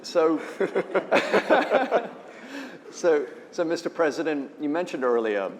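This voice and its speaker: A man's voice: fairly high pitch, very animated, slow, slight background noise. A man moderating an onstage interview, asking questions in an effusive, upbeat way. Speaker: moderator, host. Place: conference stage, live event. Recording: echoey hall with faint ambience.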